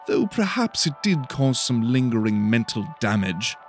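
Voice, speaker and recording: A man voicing a sharp-tongued, condescending, hot-tempered young woman in an audiobook. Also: mid-range voice, big pitch swings, measured pace, soft background music. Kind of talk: dramatic audiobook character voice.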